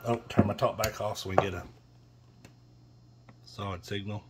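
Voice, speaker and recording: A man's voice: fairly low pitch, fairly even intonation, normal speed. A man giving a casual, rambling, matter-of-fact product demonstration, recorded on a phone in a small room. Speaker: seller demonstrating used radio equipment. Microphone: phone held near the radio. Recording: clear background noise, handheld phone.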